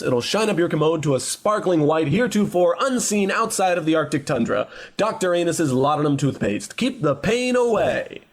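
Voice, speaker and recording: A man playing a slick, cheery old-time radio pitchman in a parody commercial, with a husky voice.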